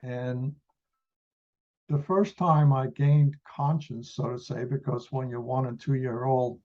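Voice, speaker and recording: Dark-voiced man in his eighties from the Netherlands. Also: mid-range voice, steady tone, slow, muffled recording. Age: 80s. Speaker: interview guest, retired engineer. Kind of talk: reminiscing in an interview.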